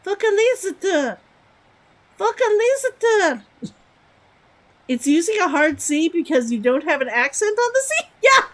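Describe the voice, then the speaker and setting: A woman's voice: very high voice, sing-song, measured pace, long pauses, fairly bright tone. A young woman streaming a game, chatty and giggly, repeating words aloud to test how they sound. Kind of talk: live game commentary.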